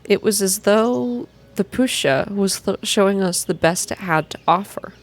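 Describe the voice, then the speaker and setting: A woman reading a story aloud in a soft-spoken, measured, atmospheric ASMR style. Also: medium pitch, a little hiss or hum. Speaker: reader of a video game story.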